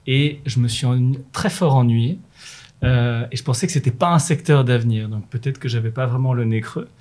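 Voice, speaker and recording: A middle-aged man, a native French speaker, answering interview questions about his work as a manager in renewable energy, in a measured, explanatory way with many fillers and restarts. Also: mid-range voice, darkish tone.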